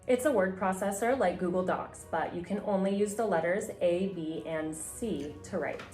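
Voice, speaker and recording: A woman's voice: fairly low pitch, normal speed, a little mellow. Woman, sketch agent, businesslike briefing, roomy echo, faint music. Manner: crisp, businesslike. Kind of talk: sketch comedy acting. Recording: echoey room with music.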